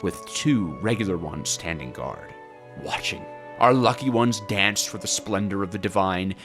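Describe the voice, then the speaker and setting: A man narrates a gaming video in a casual, scripted way. His voice is on the low side and very lively, with a playful taste for drama. Faint music plays underneath.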